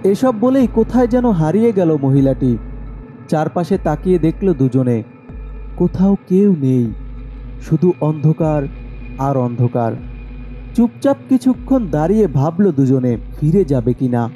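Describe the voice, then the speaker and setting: Very mellow male horror-story narrator. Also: on the high side, sing-song, measured pace, long pauses, soft background music, poor audio.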